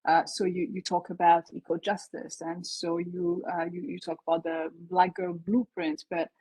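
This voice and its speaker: Woman prof hosting q&a, husky lowish voice, lots of uh and restarts, dry sound. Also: flat delivery, darkish tone, dry recording, rough recording. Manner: halting, many "uh" and restarts. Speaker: moderator, professor. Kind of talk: hesitant interview questions.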